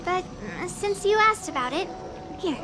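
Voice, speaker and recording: A woman's voice: extremely high pitch, expressive, normal speed. A woman voicing a timid, bookish young female shop clerk in a video game, hesitant and apologetic. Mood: hesitant, recollecting. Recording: music under the voice.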